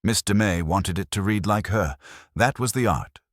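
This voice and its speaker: A man voicing an imperious, erudite detective in an audiobook, speaking in formal, deliberate pronouncements. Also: fairly low pitch, no echo, clean studio sound, voice pushed up, close to an everyday voice. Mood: lecturing, exacting. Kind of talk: detective audiobook character dialogue.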